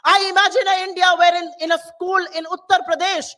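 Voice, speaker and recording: A woman's voice: extremely high pitch, little pitch movement, a little fast, fairly bright tone. A middle-aged woman whose first language is Hindi, giving a passionate political speech in a town hall with rousing, repetitive rhetoric. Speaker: political speaker.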